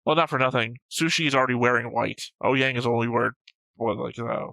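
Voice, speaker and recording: Bright-voiced man bantering dryly. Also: medium pitch, a little fast, vocal fry, dry recording. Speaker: co-host of an anime reaction show. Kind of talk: casual unscripted banter and commentary.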